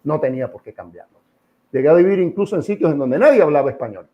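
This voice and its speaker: A man's voice: a little high, lively intonation, quick pace, highs cut off. A middle-aged or older man with a Puerto Rican accent, speaking informally as an interview guest in an emphatic, didactic way, with repeated phrases and rhetorical questions. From Puerto Rico. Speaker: interview guest, association president. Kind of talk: opinionated informal interview on history.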